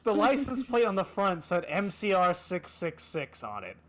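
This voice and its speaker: A man's voice: sits high, huge pitch swings, normal speed, no room sound. A man reading fan fiction aloud on a stream in a comic style, amused and given to asides.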